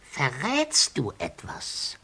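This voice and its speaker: An actor playing a wily old master of child pickpockets in a theatrical, wheedling 1950s radio drama manner. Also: male voice, on the high side, huge pitch swings, drawn-out words, bright, forceful tone, breathy edge, full character voice. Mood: menacing. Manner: theatrical, wheedling, cackling, repeats words. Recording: noisy 1950s radio recording.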